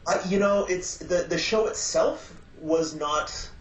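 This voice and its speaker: A man chatting as a podcast guest, talking haltingly with many fillers and restarts. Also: high voice. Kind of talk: casual podcast chat. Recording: roomy, dull lo-fi sound with faint noise.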